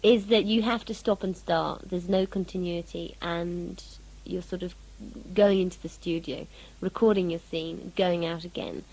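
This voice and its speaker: A woman's voice: on the low side, fairly even intonation, normal speed, hardly any pauses, darkish tone, low-quality recording. A woman, an actress being interviewed casually about her work, with a jokey, self-mocking manner. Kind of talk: casual behind-the-scenes interview.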